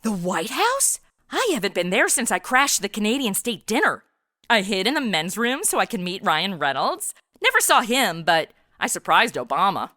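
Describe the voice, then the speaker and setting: Brash American superheroine: flippant, irreverent, lively swooping delivery, dry close sound, comic radio play. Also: female voice, medium pitch, moderate pace, dry recording. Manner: sarcastic, irreverent, breezy. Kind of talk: comedy audio drama acting.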